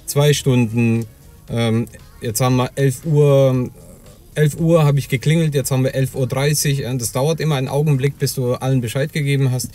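A man with a mellow, warm tone talks through his working routine in a loose, chatty way, often tossing in a quick "ja?". Faint music runs underneath. His pitch sits in the middle range. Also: steady tone. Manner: chatty, loose storytelling with filler "ja?" and "ähm". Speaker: plumber and heating installer. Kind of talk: casual vlog narration.

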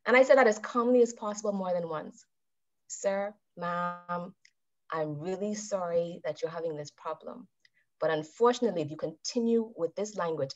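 A woman in her thirties giving a calm, measured talk to a club over a video call and putting questions to her listeners. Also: on the low side. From Bahamas. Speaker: club speaker. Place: indoors with a virtual background. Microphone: webcam on a video call. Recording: dull video-call audio.

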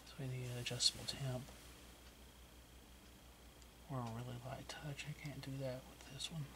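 Male ASMR crafter, hushed gravelly whisper, unhurried delivery, clear background noise. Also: medium pitch, level tone. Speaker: crafter demonstrating wood burning. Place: indoors, carpeted room at home. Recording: noisy whispered recording.

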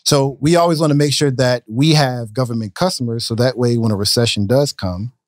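A man in his thirties, a business owner telling stories in a casual podcast interview, relaxed and talking with his hands. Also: medium pitch, brisk, dry recording. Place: podcast studio, indoors. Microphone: studio mic on a boom arm. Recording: dry close studio sound.

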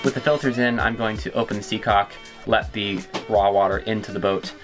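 A man in his thirties giving a relaxed step-by-step how-to explanation inside a small sailboat cabin. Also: on the low side, fairly quick. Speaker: sailing vlogger. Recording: background music over voice.

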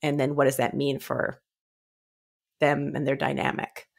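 A woman's voice: very deep voice, monotone, a little slow, creaky. A young woman co-hosting a book club show, recapping a story in casual chat and slipping into mock dialogue, on a close desk microphone. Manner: acts out characters' lines in mock dialogue. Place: small room at home, on a video call.

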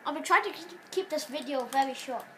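A woman hosting a gaming channel, giving casual rambling commentary on gameplay while sick, sounding nasal and stopping to cough, recorded in a dark room on a phone aimed at a TV. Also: high voice, fast, slight background noise.

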